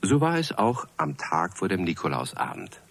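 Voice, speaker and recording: A man narrating a children's radio play in storybook style, with a deep, husky voice. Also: huge pitch swings, moderate pace, a bit bright, heavily acted.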